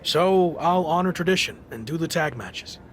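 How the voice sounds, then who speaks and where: An actor playing an earnest, principled underdog wrestler, firm and serious, in a video game cutscene. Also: male voice, on the high side, lively intonation, moderate pace, a bit bright, slight background noise. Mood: determined.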